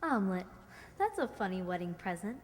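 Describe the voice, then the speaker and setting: Woman acting a cheeky animated young girl. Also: mid-range voice, brisk, lightly acted. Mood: impish. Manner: blunt, impish. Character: cheeky, blunt young girl, the kid sister. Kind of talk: stage-style radio play acting. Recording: echoey hall, stage radio performance.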